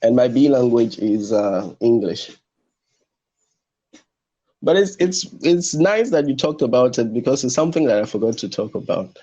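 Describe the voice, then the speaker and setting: A man's voice: expressive, moderate pace, dark tone. A young man, a French speaker, answering interview questions in a hesitant way with many restarts. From Benin. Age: young adult. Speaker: translation student guest. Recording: muffled low-fidelity audio.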